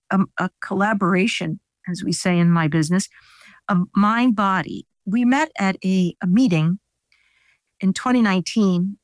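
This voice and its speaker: A woman's voice: medium pitch, dry recording. A woman scientist whose first language is Italian, explaining her research in an interview with many fillers and restarts. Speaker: scientist guest. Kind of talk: interview answers.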